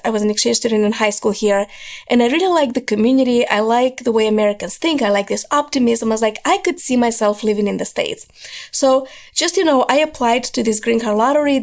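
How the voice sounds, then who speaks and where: A woman, telling her life story as a podcast guest, hoarse from losing her voice and talking in an earnest, driven way. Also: a little high. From Moldova. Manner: earnest, driven storytelling, repeats words for emphasis.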